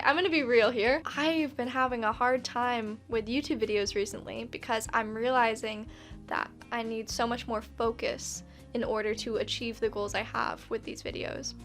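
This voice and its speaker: A woman with a fairly high voice speaks candidly to her viewers as a video review host. Her delivery is earnest, casual and reflective. Faint music plays behind her. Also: a bit bright. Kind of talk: casual reflective talk to camera.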